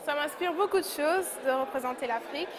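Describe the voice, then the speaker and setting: A woman's voice: high voice, brisk. A woman speaking sincerely in an interview. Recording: crowd and music behind.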